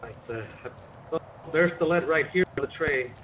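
A man with a somewhat high voice role-plays a medic in an online game. He is casual and a little wry. The voice chat has noticeable noise. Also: moderate pace, narrow, tinny audio. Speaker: roleplay gamer playing a medic. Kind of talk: improvised in-character game roleplay chat. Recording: noisy gaming voice chat.

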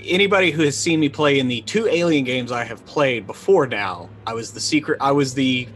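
A man's voice: medium pitch, lively intonation, a little fast, fairly bright tone. A man in his forties chatting casually as a guest player on a video call, in hesitant, stop-start phrases. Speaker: tabletop game player, guest. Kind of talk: casual chat. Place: indoor room, on a video call. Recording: clear background room noise.

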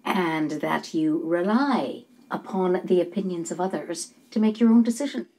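A woman's voice: lowish voice, dark tone, low-quality recording. An older actress playing a gushing, theatrical palm reader in a film, who draws her words out dramatically. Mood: knowing, teasing. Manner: dramatic, calls people darling, drawn-out delivery. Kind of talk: film acting.

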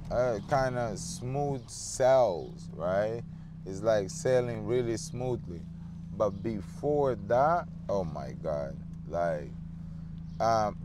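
Man, 30s, slow relaxed talk, driving, car and road noise behind. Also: medium pitch. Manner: relaxed, slow, drawn-out musing with many fillers. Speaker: dance show host. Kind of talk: casual driving vlog talk. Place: inside a car on a city street. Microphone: phone camera on the dashboard.

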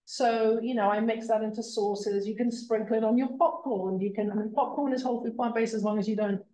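A woman's voice: fairly high pitch, fairly even intonation, a little fast, warm tone. A woman presenting a casual slideshow talk in a library, chatty and full of fillers like um and you know. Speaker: library talk presenter on plant-based meal prep. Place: library meeting room. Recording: echoey room from far off.

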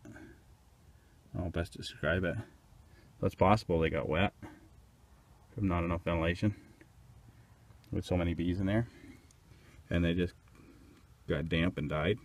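Deep-voiced man thinking aloud outdoors. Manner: unsure, hesitant, thinking aloud. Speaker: beekeeper. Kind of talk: casual narration while inspecting hives. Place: outdoors by beehives.

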